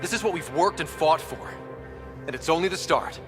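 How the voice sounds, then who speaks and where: A man's voice: on the high side, normal speed, a bit bright, soft background music, close to an everyday voice. A man playing an earnest young leader of space explorers in a video game, casual yet resolute. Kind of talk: video game cutscene acting.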